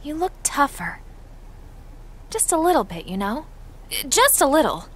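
A woman's voice: high voice, sing-song, a little slow, slight background noise. An actress playing a young game princess, earnest and polite with a girlish, playful side. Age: young adult.

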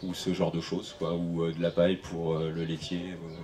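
A man's voice: deep voice, completely flat delivery, normal speed, dark tone, slight background noise. A man in his thirties from western France, a farmer answering questions on a panel, hesitant with many fillers and restarts, speaking into a handheld mic in a large tent. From France (Maine-et-Loire). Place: large tent at an outdoor event. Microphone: handheld microphone.

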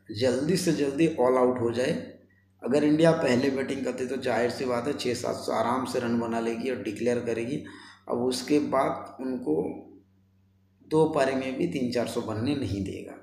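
Young man, gravelly and chatty. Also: mid-range voice, a little mellow. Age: 20s. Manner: colloquial, chatty, addresses viewers as brothers. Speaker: sports vlogger. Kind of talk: casual cricket commentary to camera. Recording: roomy echo, faint background noise.

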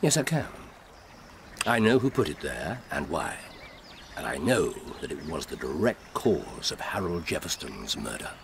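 An actor with an English accent playing a shrewd, confident middle-aged gentleman detective in a radio drama, urbane and commanding. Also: a bass voice, big pitch swings, a little slow, gravelly, a little hiss or hum, exaggerated voice. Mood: assured, cryptic. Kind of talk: BBC radio drama acting.

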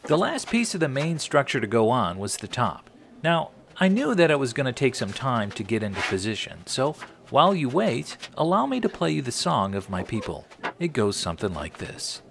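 Middle-aged american guy doing wry how-to narration, really animated pitch, noisy clicks behind him. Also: moderate pace, gravelly. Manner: wry, tongue-in-cheek. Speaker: host of a woodworking channel. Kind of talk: scripted tutorial narration.

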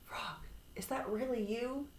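Woman voicing an excitable high-pitched storybook toad. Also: unhurried, creaky. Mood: anxious wonder. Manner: dramatic, exclaiming, pleading. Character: excitable, boastful, anxious male toad, storybook hero. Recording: echoey, roomy sound.

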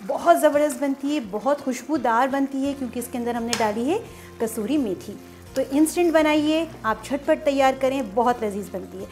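A woman talks through a recipe step by step, chatty and full of praise for the dish. Her voice sits fairly high. Soft music plays faintly behind her. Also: moderate pace. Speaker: cooking show host. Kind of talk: step-by-step cooking demonstration. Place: home kitchen at the stove.

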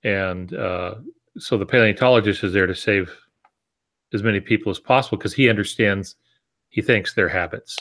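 Male podcast co-host, 60s, somewhat low register, informal and wry, stop-and-start delivery from a home office. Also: normal speed, fairly bright tone. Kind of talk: casual live podcast chat. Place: indoor home office, on a video call. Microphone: earphone headset on a video call.

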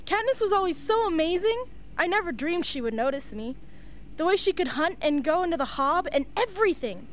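A sweet, naive teenage girl in a radio play, acted by a woman. The voice is very high and swoops with lively pitch, over a little background noise. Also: fairly quick. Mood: sweet, admiring. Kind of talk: amateur radio play acting.

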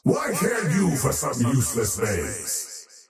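Arrogant otherworldly usurper, video game villainy, ominous, unhurried, hugely varied intonation, distant from mic, music behind. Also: male voice, medium pitch, wildly swooping pitch, vocal fry, full character voice. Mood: brooding. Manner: pompous, ominous, deliberate delivery. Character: menacing faceless void creature, arrogant oathbreaking usurper. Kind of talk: theatrical game character voice lines. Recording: roomy reverb over background music.

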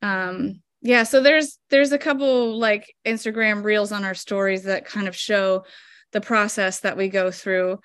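A woman's voice: medium pitch, fairly even intonation, measured pace, a bit bright, clean tone, dry recording, clean studio sound. Chatty woman on a video call. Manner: chatty, explains step by step with many fillers. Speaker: flower farmer guest. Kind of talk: casual interview over video call. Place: home room indoors. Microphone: video call webcam.

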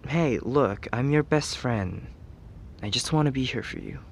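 Casting: male actor as teenage tsundere best friend, unhurried, hesitant, teasing yet caring, ASMR roleplay with audible background rumble. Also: expressive, gravelly. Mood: gently concerned. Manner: hesitant, soft-hearted, teasing, feigns reluctance.

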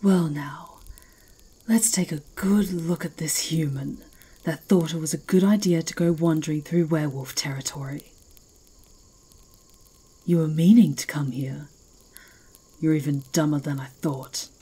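A woman playing a fierce, mocking werewolf chieftain in an ASMR roleplay, speaking in a slow, taunting, imperious way. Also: low-pitched, warm tone, slightly rough. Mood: taunting, measured.

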